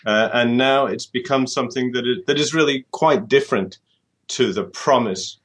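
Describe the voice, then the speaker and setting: A man's voice: medium pitch, moderate pace. A middle-aged man from the UK, answering interview questions thoughtfully and often repeating words as he restarts. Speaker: author guest.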